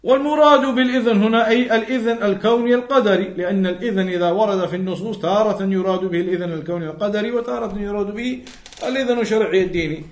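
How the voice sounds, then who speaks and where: A man's voice: sits high, very fast. A man giving a religious lesson, explaining didactically and repeating key phrases for emphasis. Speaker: religious teacher. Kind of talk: religious lesson, reading Arabic text and explaining it in English. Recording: roomy, echoing sound.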